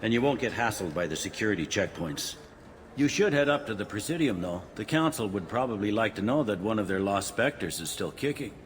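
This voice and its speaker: Deep-voiced, gruff police captain played by a man. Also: animated, a little fast, slight background noise, lightly acted. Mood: dry, blunt. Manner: blunt, matter-of-fact. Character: gruff, no-nonsense male police captain in space. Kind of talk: video game dialogue acting.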